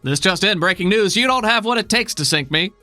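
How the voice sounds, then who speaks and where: A man's voice: sits high, animated, a little fast, bright, forceful tone, dry recording, soft background music, voice pushed up, heavily acted. A man voicing a skinny, brash reporter who hollers taunts like a news announcer in a tabletop game. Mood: cocky, hollering.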